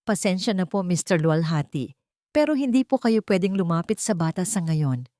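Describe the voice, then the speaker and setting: A woman's voice: lowish voice, big pitch swings, moderate pace, mellow, no echo. A firm, courteous policeman voiced by a woman narrator speaks formally with some sympathy. The delivery is dry and close, as in an audio drama. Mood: firm, apologetic.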